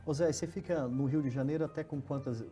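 A man's voice: a little high, steady tone, quick pace, mellow, faint music behind. A Brazilian man hosting a conversational interview as the interviewer. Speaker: host and interviewer.

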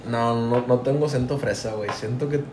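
A young Mexican man from Tampico, talking loose and slangy with constant profanity as a podcast co-host. His voice sits at a middle pitch. The room rings with echo and a clear hiss of noise. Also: fairly quick. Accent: Mexican Spanish, from Tampico. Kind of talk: casual podcast chat.

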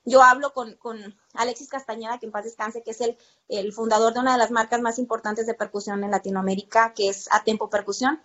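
A woman's voice: on the high side, steady tone, brisk, fairly bright tone, muffled recording. A Mexican woman talking conversationally as an interview guest, telling personal stories in a talkative, emphatic way and repeating words for stress. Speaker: interview guest, percussion teacher.

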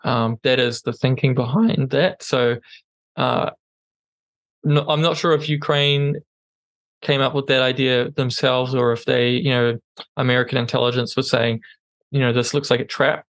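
A man's voice: medium pitch, fairly even intonation, normal speed, no room sound. A man co-hosting a podcast in casual news chat, with a non-native English accent, talking hesitantly with many ums and restarts. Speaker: podcast co-host.

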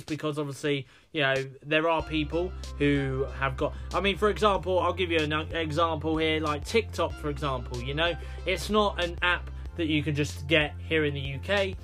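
A man's voice: a little high, a bit bright. A British man hosting a solo podcast, rambling with many fillers and restarts. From United Kingdom. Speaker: podcast host.

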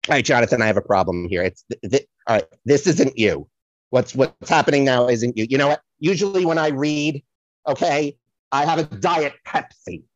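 A performer playing a flamboyant, gushing, scatterbrained celebrity psychic in a comic audio play, rambling and repeating phrases with theatrical drawn-out words. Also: medium pitch, lively intonation, no echo, highs cut off, close to an everyday voice. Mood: flustered, rambling. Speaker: podcast guest playing a psychic. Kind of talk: improvised comic audio play, podcast spoof.

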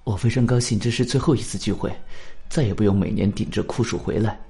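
A man's voice: fairly low pitch, quick pace, vocal fry, mellow. A man playing a weary, nervous middle-aged club member in a radio drama, speaking uneasily.

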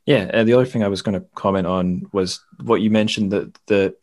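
Man, low pitch, podcast interviewer, casual literary chat, rambling, self-correcting. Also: fairly even intonation, a little fast, a little mellow.

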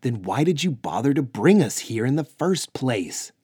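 A man's voice: medium pitch, sing-song, moderate pace, dark tone, breathy edge, no echo, studio quality, voice pushed up. A man voicing a defiant male detective who demands answers insistently.

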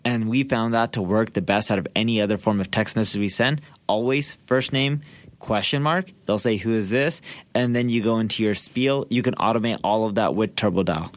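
A man's voice: fairly low pitch, brisk. A man co-hosting a podcast in a casual, instructional style, posing questions and making points emphatically. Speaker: podcast co-host.

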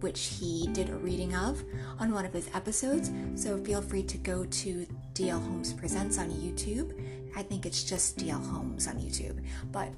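Theatrical woman podcast host over music. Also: on the low side. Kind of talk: chatty hosting and dramatic story narration.

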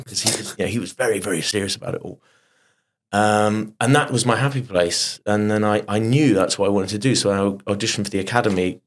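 A middle-aged man with a fairly low voice tells stories from his past as a guest on a talk show. He talks in animated bursts and trips over his own restarts. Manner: animated anecdotes with quick restarts. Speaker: guest performer. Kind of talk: casual interview storytelling.

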